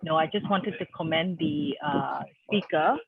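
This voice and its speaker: Husky man over a tinny call line. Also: on the high side, no room sound. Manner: appreciative, halting. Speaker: webinar participant. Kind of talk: brief comment on a call. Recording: tinny phone-like call audio.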